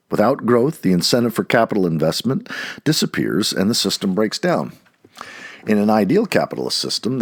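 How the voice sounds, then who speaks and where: A man's voice: fairly low pitch, slightly rough, no echo, clean studio sound. A man reading an essay aloud in an emphatic manner, occasionally stumbling and correcting himself. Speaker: audiobook-style essay reader. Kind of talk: expressive read-aloud of an essay.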